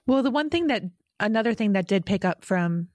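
A woman's voice: mid-range voice, lively intonation, fairly quick, darkish tone, dry recording, studio quality. A woman in her forties from Rochester, New York, hosting a podcast in a chatty, self-deprecating way and laughing often. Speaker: podcast host. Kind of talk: casual podcast chat.

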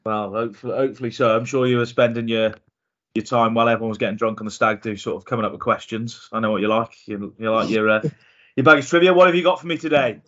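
A man's voice: mid-range voice, steady tone, quick pace, a bit bright. A man hosting a casual football podcast, upbeat and competitive, thinking aloud while he guesses. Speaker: podcast host. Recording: dull lo-fi podcast audio.

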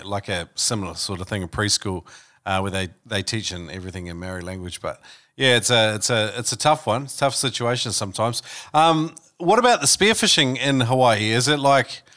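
New man hosting a podcast. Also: medium pitch. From New Zealand. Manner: relaxed, hesitant, many fillers and restarts. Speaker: podcast host. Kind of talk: casual interview chat and ad reads.

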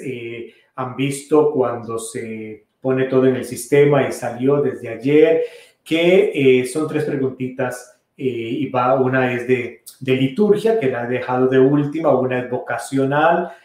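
A middle-aged man, a priest hosting a casual podcast, answering listener questions in a relaxed, rambling way with many fillers and restarts. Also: mid-range voice. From Costa Rica. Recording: very echoey room.

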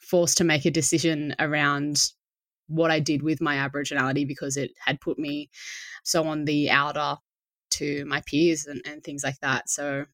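A woman's voice: deep voice, level tone, moderate pace, a bit bright, no room sound. An Australian woman from south of Sydney, a podcast guest talking in a reflective, rambling way. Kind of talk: casual interview chat.

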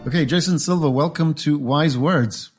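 A male host interviewing a guest, unhurried and hesitant, with many short fillers. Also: a little high, faint music behind.

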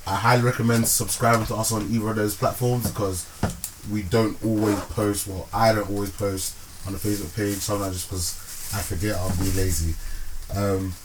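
Relaxed male podcast host, lowish voice, faint noise behind. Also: normal speed. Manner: relaxed, laughs often, filler-heavy. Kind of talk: casual podcast chat.

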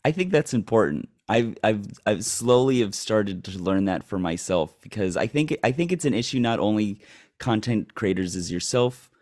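A man's voice: fairly low pitch, expressive, moderate pace, a little mellow. A man hosting a casual interview, asking curious, probing questions and often rephrasing them mid-sentence. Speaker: host.

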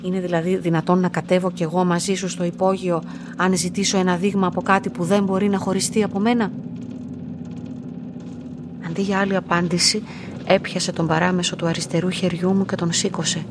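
An actress playing a lonely, quietly curious young woman in a radio play, telling her story in a measured, introspective way. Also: fairly low pitch, flat delivery, background noise, lightly acted. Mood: insistent. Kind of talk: literary radio play narration and dialogue.

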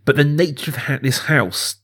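A man's voice: on the high side, normal speed, fairly bright tone. A man hosting a casual film discussion podcast, explaining things in thoughtful, enthusiastic detail. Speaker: podcast host.

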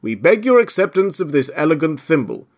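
A man's voice: a little high, big pitch swings, pitched above the performer's own voice. Man doing a pompous, solemn dodo, raised voice. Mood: grave, solemn. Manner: grave, pompous, deliberate. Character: solemn, pompous male dodo bird, self-important organiser.

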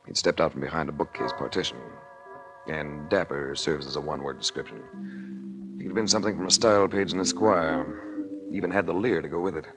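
An actor with an American accent playing a suave, hard-boiled investigator in a 1940s radio drama, speaking and narrating in a smooth, dry way. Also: male voice, rumbling low, fairly even intonation, fairly quick, over music, low-quality recording. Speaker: lead actor and narrator of a detective series. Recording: vintage 1940s radio recording.

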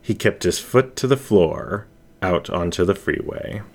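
Male audiobook-style narrator needed: deep, unhurried voice for scripted story narration. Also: expressive.

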